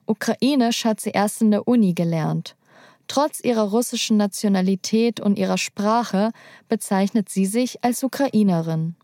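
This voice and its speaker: A young woman narrates a documentary in a calm, measured voice with a soft, mellow tone. She is recorded close and dry, with no room sound around her. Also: mid-range voice, no echo. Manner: calm, measured, personal storytelling.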